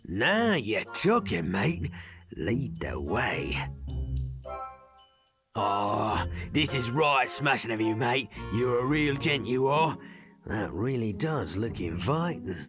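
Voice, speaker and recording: A man's voice: medium pitch, very animated, takes it slowly. A man voicing a cheeky, uncouth sewer rat in a cartoon game, slangy and matey with a working-class London accent. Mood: chummy, cocky. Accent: English, working-class London. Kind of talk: animated game cutscene acting. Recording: music behind the voice.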